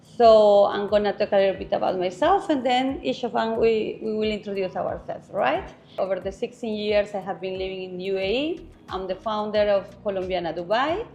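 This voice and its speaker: A middle-aged woman hosting a casual panel chat, speaking in a friendly, slightly halting way. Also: mid-range voice, dark tone. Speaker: host.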